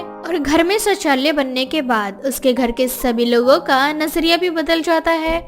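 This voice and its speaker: A woman reading scripted story narration as the narrator. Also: sits high.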